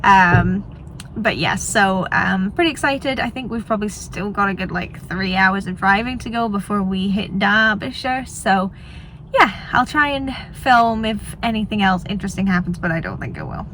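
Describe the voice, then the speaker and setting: A woman's voice: mid-range voice, normal speed, a bit bright, a little hiss or hum, low-quality recording. Chatty, enthusiastic woman vlogger. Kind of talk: casual travel vlog narration to viewers.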